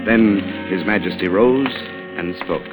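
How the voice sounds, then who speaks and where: Brash, wisecracking 1940s radio reporter; low voice, wry clipped delivery, vintage recording with music under it. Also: male voice, unhurried, poor audio. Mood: wry, tense narration. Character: brash wisecracking male newspaper reporter narrating his crime story. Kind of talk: hardboiled 1940s radio drama acting.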